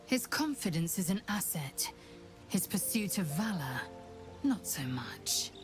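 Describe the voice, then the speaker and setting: A woman's voice: lowish voice, big pitch swings, a little slow, over music. A woman playing a stern, haughty alien warrior in a video game, speaking in a clipped, formal, disdainful way. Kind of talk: dramatic video game acting.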